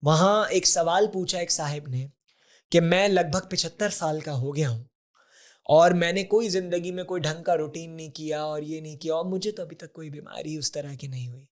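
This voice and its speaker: A man's voice: fairly high pitch, moderate pace. This is a man, a guest on a conversational podcast. He talks in an earnest, explanatory way and often drops English terms into his sentences. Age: 40s. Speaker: podcast guest, health advocate. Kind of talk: conversational interview.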